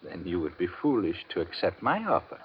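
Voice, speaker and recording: A husky pawnbroker, formal and unbudging. His lowish voice swings in pitch, heard on a dull 1950s radio recording. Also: wildly swooping pitch, fairly quick, full character voice. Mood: unyielding, reserved. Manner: formal, clipped phrasing. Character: terse, unyielding male pawnbroker. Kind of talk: 1950s radio drama acting.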